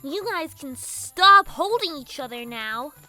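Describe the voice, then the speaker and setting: A woman's voice: high-pitched, animated, slow pace, bright, forceful tone, soft background music, voice pushed up, exaggerated voice. A woman voicing a bratty, smug little girl pegasus, exasperated and snippy, in a cartoon fan fiction reading. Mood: smug, dry.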